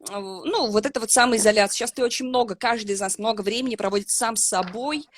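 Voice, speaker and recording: A woman's voice: fairly high pitch, fast, no room sound, clean studio sound. A woman hosting a casual online interview, asking questions in a chatty, friendly way. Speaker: interview host.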